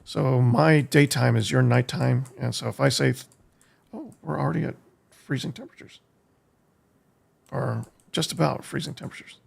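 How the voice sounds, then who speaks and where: An American man streaming a game, giving casual live commentary in a halting way as he thinks aloud, with a gravelly, husky voice. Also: medium pitch. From United States. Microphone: streaming desk microphone.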